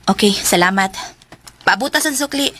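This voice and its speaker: A woman's voice: medium pitch, lively intonation, normal speed, sharp tone, a little hiss or hum. A woman playing a polite, shy fuel station attendant in a Filipino radio drama, with a Cebuano accent.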